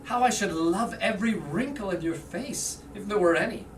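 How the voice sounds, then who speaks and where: A woman's voice: medium pitch, expressive, normal speed, lightly acted. A woman playing a devoted husband home from war in staged reader's theater, earnest and romantic. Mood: adoring. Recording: roomy, distant mic, faint noise.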